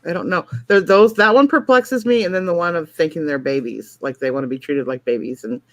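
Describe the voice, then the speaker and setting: A woman's voice: low voice, brisk, no room sound. A woman hosting a casual late-night livestream, chatty, telling stories and reacting to chat. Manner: chatty storyteller, reads out chat comments. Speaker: livestream host.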